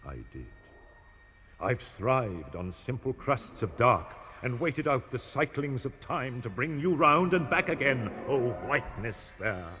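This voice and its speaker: An actor playing a blinded, obsessed spaceship captain, brooding and vengeful in a grandiose, incantatory radio-drama soliloquy. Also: male voice, medium pitch, big pitch swings, unhurried. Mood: defiant, vengeful. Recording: dull 1960s radio recording with hiss.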